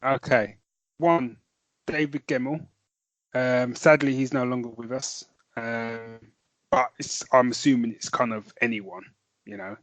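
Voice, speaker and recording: A man's voice: mid-range voice, fairly even intonation, measured pace, dry recording, poor audio. A man co-hosting a podcast in casual chat, rambling and correcting himself, laughing easily. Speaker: podcast co-host and novelist.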